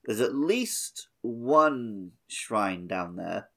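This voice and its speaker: Lowish-voiced man in casual podcast chat. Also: animated, extremely slow, gravelly, a bit bright. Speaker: podcast co-host. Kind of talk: casual chat about a video game.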